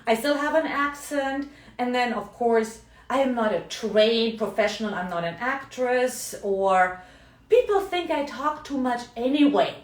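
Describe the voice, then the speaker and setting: A woman's voice: fairly high pitch, a little slow. A middle-aged woman from Germany with a German accent, a coach talking chattily in a live video, often tagging on "yeah?" and "right?". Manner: chatty, frequent tag questions. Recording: roomy, mic at a distance.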